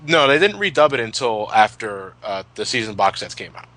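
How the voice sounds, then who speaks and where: A man in his twenties chatting casually as a podcast commentator, reminiscing in a halting, sweary way and often repeating his words. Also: on the low side, lively intonation, fairly bright tone. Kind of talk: casual retrospective chat, reminiscing.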